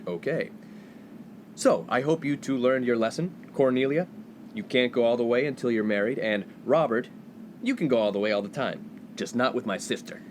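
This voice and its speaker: A man's voice: mid-range voice, moderate pace, slight background noise, close to an everyday voice. An actor playing a smug, glib male college freshman from a privileged background in a comedic 1950s radio play. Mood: smug, breezy.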